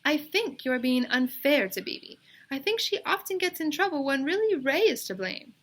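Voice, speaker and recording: Woman as a kindly, firm, high-voiced schoolteacher. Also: sing-song, moderate pace, a bit bright. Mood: polite, firm.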